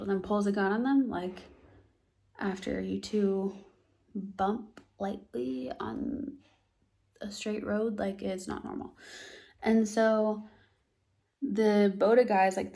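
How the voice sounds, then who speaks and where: A young American woman telling a tense personal story in a casual vlog, animated and often dropping to a hushed near-whisper. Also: medium pitch, creaky. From United States. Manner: animated storytelling, acts out both sides of a dialogue.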